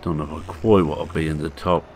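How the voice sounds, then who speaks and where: Older man in his sixties, very deep voice, croaky and hoarse, unhurried rambling gameplay chat, faint engine hum behind. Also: steady tone. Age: 60s. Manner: unhurried, rambling, halting with fillers. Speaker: farming game video maker. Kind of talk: casual gameplay commentary.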